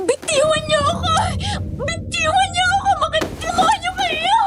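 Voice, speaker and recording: A woman's voice: very high voice, steady tone, unhurried, heavy background noise. A woman playing a terrified but defiant young captive in a horror story, crying out and pleading tearfully. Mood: defiant, desperate.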